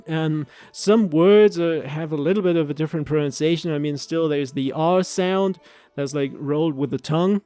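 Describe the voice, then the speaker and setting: High, clear-voiced man chatting casually. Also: moderate pace, mellow, dry recording, faint music behind. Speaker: video host. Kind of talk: casual language commentary.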